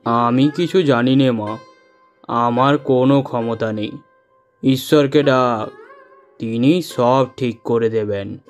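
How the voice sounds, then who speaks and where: Male actor as old holy man, grave and calm, slow deliberate speech, cartoon storytelling, background music. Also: mid-range voice, natural voice. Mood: gentle, humble.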